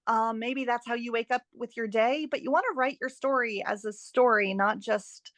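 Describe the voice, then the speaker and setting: A woman's voice: fairly high pitch, normal speed, a bit bright, no echo. Woman giving expert advice, close dry sound. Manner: emphatic, explanatory, uses rhetorical questions. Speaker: guest editing expert and author. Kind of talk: conversational advice interview.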